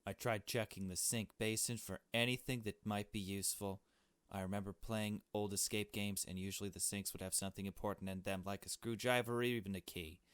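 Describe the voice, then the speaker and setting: A man voicing a frightened, earnest kidnapped young adventurer in a visual novel, speaking in a halting, pleading way. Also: on the low side, fairly even intonation, fairly bright tone, clean tone, no room sound, natural voice. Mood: hushed narration. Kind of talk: dramatic visual novel read-aloud.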